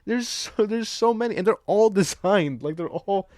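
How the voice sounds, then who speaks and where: A man's voice: high voice, lively intonation, normal speed, a little mellow, no room sound, studio quality. A man co-hosting a podcast, chatting casually and profanely about films, often laughing as he talks. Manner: loose, profane, laughs while talking. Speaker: podcast co-host. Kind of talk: casual chat, film discussion.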